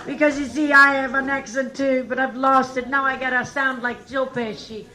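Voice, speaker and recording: Woman stand-up host, from Italy, forceful bright tone, conversational delivery. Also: high voice, flat delivery, normal speed. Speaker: comedy show host.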